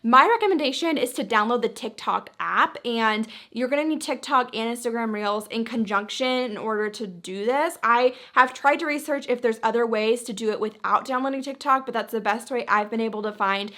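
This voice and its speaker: A woman's voice: a little high, fairly quick, few pauses, bright, forceful tone. A woman hosting a social media tutorial, talking casually and chattily through each step.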